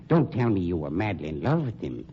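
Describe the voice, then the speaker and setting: An actor playing a suave, menacing funeral director turned sleuth, with an American accent and formal, pompous, insinuating speech in old-time radio drama style. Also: male voice, deep voice, very animated, a little fast, mellow. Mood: coolly threatening. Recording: dull, noisy old radio recording.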